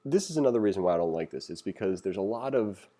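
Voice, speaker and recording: A man's voice: fairly low pitch, lively intonation, quick pace, dark tone. A man giving a casual, conversational programming tutorial as instructor, reading along and adding opinionated, self-deprecating asides.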